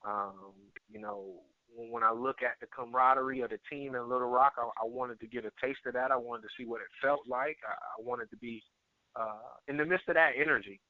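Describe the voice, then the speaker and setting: Male conference-call guest, earnest and halting, conversational testimonial, thin dry phone-line sound. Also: medium pitch, dry recording. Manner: earnest, halting, repeats words. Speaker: guest, network marketer and area manager. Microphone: telephone conference call. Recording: tinny phone line, narrow band.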